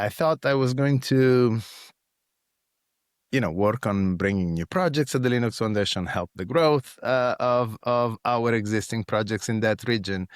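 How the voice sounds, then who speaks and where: Low-voiced Italian-accented man. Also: no echo, clean studio sound. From Italy. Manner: thoughtful, frequent fillers and restarts. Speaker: guest, foundation executive. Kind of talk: conversational interview answers.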